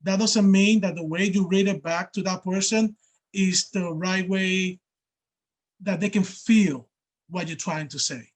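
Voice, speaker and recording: High-voiced man, panelist on a video call, earnest, explains with examples. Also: fairly even intonation, measured pace. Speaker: panelist, care coordinator. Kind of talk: casual panel discussion.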